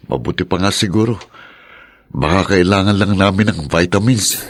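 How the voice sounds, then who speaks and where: A man playing a loving, troubled young husband in a radio drama, gentle and reassuring. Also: low-pitched, a little slow, no echo, studio quality. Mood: sad.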